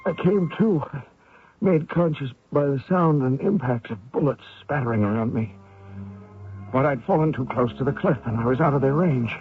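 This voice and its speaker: A tinny old radio broadcast with music carries a male actor playing a desperate, wounded soldier on the run. He speaks in a medium-pitched voice, strained and dramatic, as if telling his ordeal in an old-time radio play. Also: moderate pace, close to an everyday voice. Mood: haunted, tense. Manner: dramatic first-person narration with strained pleading. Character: desperate wounded American fugitive soldier recounting his escape.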